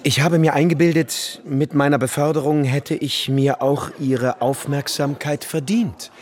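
An actor playing a vain, lovesick young officer in a radio drama, self-important and effusive, theatrical yet insecure. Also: male voice, fairly high pitch, fairly even intonation, measured pace, a little mellow, natural voice. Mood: pleading.

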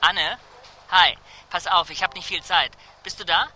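An actor playing an excitable, hurried man eagerly pitching ideas by phone in a radio drama. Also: heavily acted, rough recording. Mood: eager, rushed. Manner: eager, halting, urgent. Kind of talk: radio drama acting, answering machine message. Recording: faint urban background noise.

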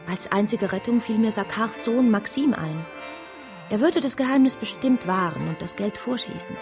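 An actress in a German radio drama playing an earnest, genteel lady who tells her story gravely and with composure. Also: medium pitch, animated, moderate pace, music in the background, close to an everyday voice. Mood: troubled narration. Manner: composed, grave, well-spoken. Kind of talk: German radio drama acting and first-person narration.

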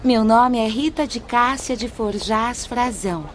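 A woman playing a gracious, delicate fifteen-year-old aristocratic spirit girl in a radio drama, gentle and formal. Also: a little high, measured pace, lightly acted. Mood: gentle, wistful.

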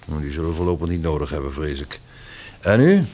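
An actor playing a brisk, bureaucratic colonel in a radio drama, with a clipped, orderly way of questioning. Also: male voice, extremely low pitch, sing-song, darkish tone, heavily acted. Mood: brisk, officious. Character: brisk, bureaucratic male colonel running a survivors' camp. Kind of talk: Dutch radio drama acting.

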